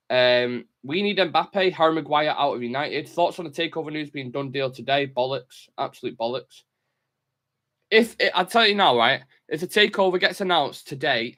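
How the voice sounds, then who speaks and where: A man's voice: mid-range voice, fairly bright tone. Blunt man hosting a fan stream. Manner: blunt, opinionated, swears casually. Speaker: host of a football fan show. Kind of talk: casual live-stream chat reading comments.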